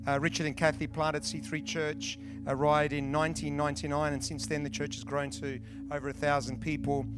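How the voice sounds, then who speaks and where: Aussie church guy doing the intro, lots of uhs, bit higher voice, music behind. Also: flat delivery. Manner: halting, full of fillers. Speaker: church pastor introducing a guest.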